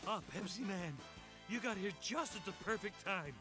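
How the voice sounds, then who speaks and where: A man's voice: high voice, normal speed, loud music behind the voice, close to an everyday voice. An actor playing an eager, worried delivery man in a video game cutscene, speaking with exclamatory urgency. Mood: urgent.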